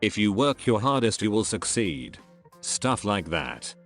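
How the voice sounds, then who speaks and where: A man narrating a series of online posts in a steady read-aloud style. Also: fairly low pitch, unhurried, faint music behind. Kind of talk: scripted narration.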